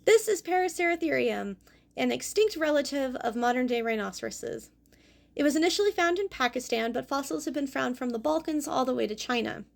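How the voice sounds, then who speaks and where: Female host-teacher, young adult, slightly high voice with some vocal fry, clear explanatory lesson delivery. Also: expressive, fairly quick. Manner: clear explanatory delivery, careful over hard names. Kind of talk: educational fossil presentation.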